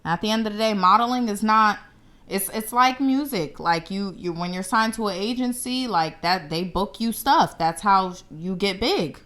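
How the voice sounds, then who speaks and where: Blunt, bright-voiced woman host. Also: mid-range voice, normal speed. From United States. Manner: blunt, confident, opinionated. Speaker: show host. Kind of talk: casual commentary to camera.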